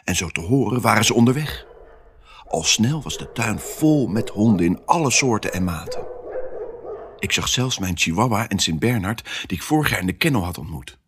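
A male actor voicing an excitable pet dog who tells his own Christmas adventure in an expressive, theatrical family audio drama style. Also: on the low side, moderate pace, a little hiss or hum. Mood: cheerful storytelling. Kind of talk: first-person storytelling in a family audio drama.

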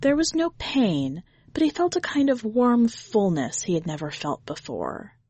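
A woman's voice: mid-range voice, very animated, a little slow, darkish tone, husky, dry recording. A woman reading a short story aloud as a podcast host, in scripted narration.

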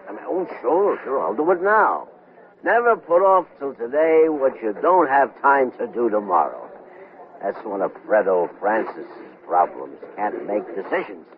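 An actor playing a cheerful, tipsy department manager in a radio comedy, flustered, halting and dithering. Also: male voice, on the low side, big pitch swings. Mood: muddled, self-satisfied. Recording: noisy, narrow-band radio broadcast.

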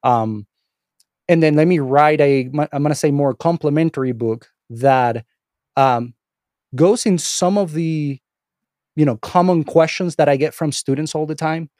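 A man's voice: on the high side, a little slow, a little mellow, dry recording, studio quality. A middle-aged man from Venezuela with a Venezuelan Spanish accent, talking casually as an interview guest, rambling with many restarts and fillers. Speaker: interview guest, instructor and author.

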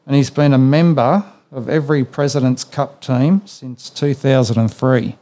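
An Australian man hosting a laid-back sports podcast. He talks in a rambling, conversational way, peppered with "yeah" and "you know", at an ordinary mid pitch. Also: fairly even intonation, moderate pace, warm tone. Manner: rambling, with many fillers and "yeah"s. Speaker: podcast host. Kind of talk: casual sports chat.

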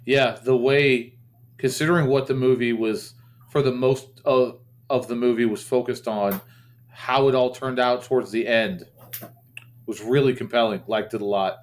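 A man with a mid-pitched voice co-hosting a podcast in a relaxed chat. He talks in a friendly, teasing way, often stumbling and repeating words as he goes. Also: moderate pace. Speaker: podcast co-host. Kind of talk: casual chat.

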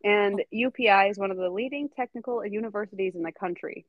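A woman's voice: mid-range voice, fast, no room sound, muffled recording. A woman hosting a podcast, telling a story in casual chat, giggling often and full of fillers. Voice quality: giggly, chatty, filler-heavy. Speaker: podcast host.

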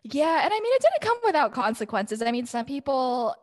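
A woman's voice: high voice, big pitch swings, brisk, a little mellow, no room sound. A young adult woman speaking as a podcast guest, telling personal stories in a chatty, informal and long-winded way. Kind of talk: casual conversational personal storytelling.

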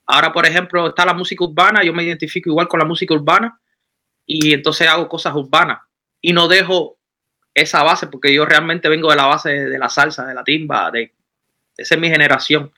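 A man's voice: a little high, fairly quick. A Cuban man in his thirties, a musician talking about his career in a casual interview, rambling with many restarts. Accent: Cuban Spanish. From Cuba. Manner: animated, rambling with frequent restarts. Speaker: musician guest.

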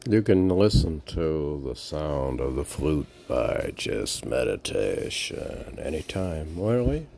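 Slow, low-voiced man with a gravelly creak. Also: sing-song, dark tone, slight background noise. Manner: musing, asks and answers his own questions. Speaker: poetry reader and commentator. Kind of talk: casual rambling commentary and poetry reading.

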